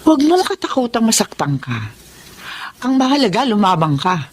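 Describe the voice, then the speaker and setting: A woman's voice: medium pitch, big pitch swings, normal speed, slightly rough. An actress playing a caring middle-aged mother giving earnest, encouraging advice to her grown son in a Tagalog radio drama. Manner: earnest, counselling.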